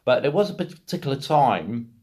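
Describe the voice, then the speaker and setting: A man's voice: medium pitch, very animated, normal speed, darkish tone. A British man in middle age talks casually, like a video blogger recounting his own experiences. His delivery is rambling yet blunt and emphatic. He swears freely as he goes.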